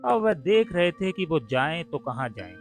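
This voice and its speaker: A man's voice: fairly high pitch, very animated, moderate pace. A man narrating a scripted cartoon story for children. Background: soft music.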